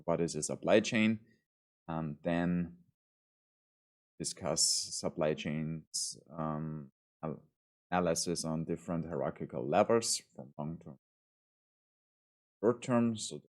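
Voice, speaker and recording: A male university lecturer with an Austrian accent, giving a formal course introduction in a measured way with frequent ums and restarts. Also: low-pitched, darkish tone.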